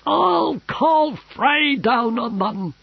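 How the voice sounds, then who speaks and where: A whiny spoiled young emperor. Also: male voice, extremely high pitch, animated, slow, creaky, slightly rough, no room sound, muffled recording, voice pushed up, full character voice. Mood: whining. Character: sulky whining pampered young emperor.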